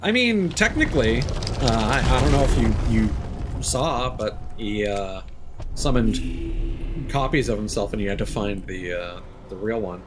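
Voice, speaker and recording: Lively low-voiced male game streamer. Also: measured pace. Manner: rambling, self-correcting, casually profane. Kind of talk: live gameplay commentary. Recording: noisy background.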